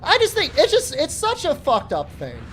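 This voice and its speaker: A man's voice: extremely high pitch, wildly swooping pitch, normal speed, fairly bright tone, slight background noise. A man in his forties chatting to his live-stream viewers while gaming, joking around and breaking into profane, mock-angry rants. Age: 40s. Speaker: game streamer.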